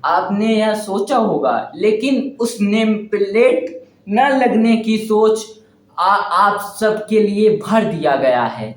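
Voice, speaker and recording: A man's voice: high voice, lively intonation, slow. A young man addressing exam candidates directly, emphatic and repeating phrases for effect. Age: young adult. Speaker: exam preparation vlogger. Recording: roomy, echoing indoor sound.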